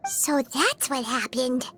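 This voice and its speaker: A woman's voice: slow pace, sharp tone, slight background noise, heavily acted. A woman voicing a tiny, bubbly, childlike fairy companion in a video game. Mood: eager. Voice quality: squeaky, childlike. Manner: refers to self in third person. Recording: music playing under the voice.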